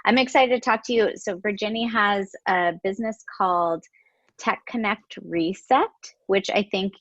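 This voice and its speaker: A woman's voice: medium pitch, moderate pace, a bit bright, dry recording. Woman host, chatty, upbeat, dry dull call audio. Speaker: podcast host. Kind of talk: interview hosting.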